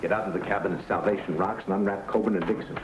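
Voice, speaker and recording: Male actor as crooked rancher giving orders, fairly deep voice, vintage western with obvious noise. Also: steady tone, rapid-fire, close to an everyday voice. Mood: smug, bossy. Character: scheming, bossy male villain rancher. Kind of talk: old-time western drama acting. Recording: noisy old western soundtrack.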